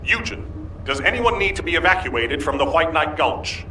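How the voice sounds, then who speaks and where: A man's voice: fairly high pitch, lively intonation, moderate pace, pushed and bright, rough recording. A man voicing an earnest military commander in a video game, grave and intense, with an authoritative manner. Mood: grave, urgent. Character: earnest, urgent male military commander at headquarters. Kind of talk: scripted game dialogue. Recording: faint background noise.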